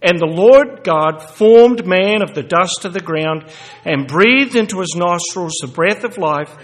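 A man giving a sermon and reading scripture aloud, halting with many fillers and restarts, then growing louder and more emphatic as he reads. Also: high voice, expressive. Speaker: lay preacher. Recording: echoey hall reverb.

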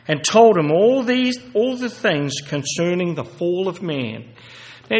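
A man giving a sermon and reading scripture aloud, halting with many fillers and restarts, then growing louder and more emphatic as he reads. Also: high voice. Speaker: lay preacher. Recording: echoey hall reverb.

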